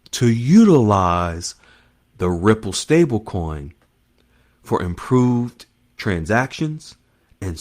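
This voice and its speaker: A man hosting an online finance news monologue, speaking in short emphatic phrases and repeating key terms as he explains them to followers. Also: on the low side. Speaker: host of an online currency news channel.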